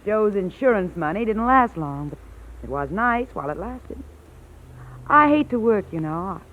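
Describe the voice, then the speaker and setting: An actress playing a cool, mocking, greedy blackmailer in a vintage radio drama, insinuating and controlling. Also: female voice, mid-range voice, big pitch swings, moderate pace, close to an everyday voice. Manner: taunting, insinuating. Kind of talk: 1940s-50s radio drama acting. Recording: tinny old radio recording.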